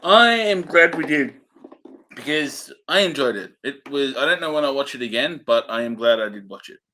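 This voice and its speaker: A man's voice: medium pitch, animated, moderate pace, bright, forceful tone. A man co-hosting a film review show in casual chat, with an understated, dry way of putting things.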